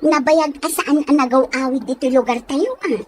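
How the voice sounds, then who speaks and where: A woman's voice: high voice, moderate pace, a little hiss or hum, natural voice. A woman with an Ilocano Filipino accent playing an outspoken, teasing village best friend in an audio drama, blunt and prodding. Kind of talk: audio comedy-drama acting.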